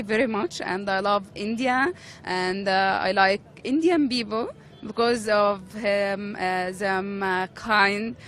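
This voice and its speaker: A woman's voice: mid-range voice, a bit bright, a little hiss or hum. A woman from Egypt, a journalist, speaking hesitantly with many fillers.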